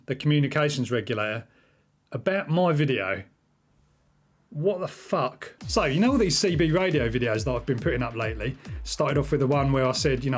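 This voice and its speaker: A middle-aged man with a British accent talking casually and informally to his viewers as a video host. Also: fairly high pitch. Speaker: video host, radio hobbyist. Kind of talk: casual vlog talk to viewers.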